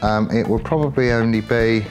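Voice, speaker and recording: A man with an English accent giving casual, off-the-cuff workshop commentary as a motorcycle builder, chatty and colloquial, thinking out loud. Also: mid-range voice, steady tone, moderate pace, faint music behind.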